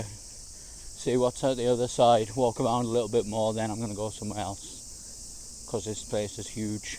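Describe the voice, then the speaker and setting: Hoarse, chatty man outdoors. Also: mid-range voice, a little mellow, slight background noise. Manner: chatty, slangy, easygoing. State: slightly hoarse from shouting. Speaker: travel vlogger. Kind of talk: casual walking vlog narration. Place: outdoors in large city gardens, walking in heat.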